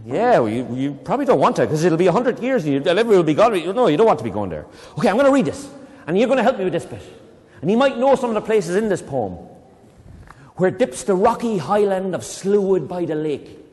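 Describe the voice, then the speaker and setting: An Irish man reading a poem aloud to children, reciting slowly and dramatically and breaking off into playful, chatty explanations. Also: high-pitched, big pitch swings, a little mellow. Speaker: poetry reader leading children. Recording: long reverb in a hall.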